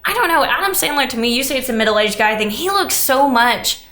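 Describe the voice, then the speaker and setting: A woman's voice: high-pitched, lively intonation, brisk, bright, forceful tone. A woman on a podcast panel, chatting casually as she reviews a movie. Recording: echoey, roomy sound.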